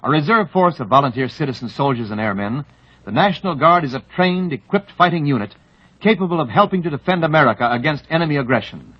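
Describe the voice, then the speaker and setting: A man's voice: a little high, animated, fast talker. A man announcing a scripted promo on old-time radio in a formal, solemn delivery. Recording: muffled old radio recording.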